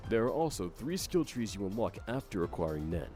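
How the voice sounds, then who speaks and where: A man's voice: on the low side, sing-song, fairly quick, mellow, soft background music. A man reading scripted explainer narration for a video game trailer.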